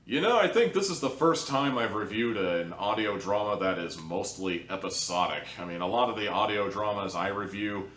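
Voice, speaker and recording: A man's voice: on the low side, normal speed, hardly any pauses, fairly bright tone. A man giving a casual review monologue in a rambling, hedging, conversational way. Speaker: audio drama reviewer. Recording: roomy echo, distant from the mic.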